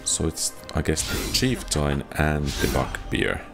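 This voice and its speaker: A man's voice: rumbling low, expressive, takes it slowly, creaky. A man giving casual, understated video game commentary, musing to himself as he plays. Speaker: gameplay host and player. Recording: game music behind the voice. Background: fantasy game music.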